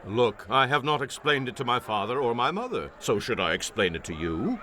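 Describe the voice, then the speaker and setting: A man playing a strong, wrathful biblical hero in a radio-style dramatization, speaking in a forceful, declamatory way. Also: medium pitch, big pitch swings, brisk. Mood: taunting, defiant.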